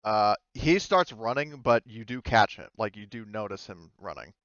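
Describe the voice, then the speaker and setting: Male game master, smooth mid voice, casual halting narration, dry close recording. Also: fairly low pitch, fairly bright tone, no room sound, highs cut off. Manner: halting, conversational, mild swearing.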